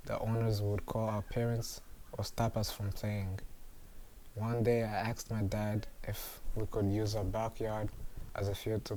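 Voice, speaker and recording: A young man from Uganda reading his own memoir aloud in an earnest, measured way. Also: fairly low pitch, warm tone, slight background noise, poor audio. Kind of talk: read memoir narration.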